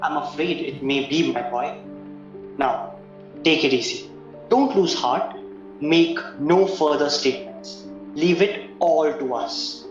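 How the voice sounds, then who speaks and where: A man playing a calm, reassuring and loyal solicitor in an audio play. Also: on the high side, slow pace, music in the background. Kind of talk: stage-style radio play acting. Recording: muffled, very echoey room recording.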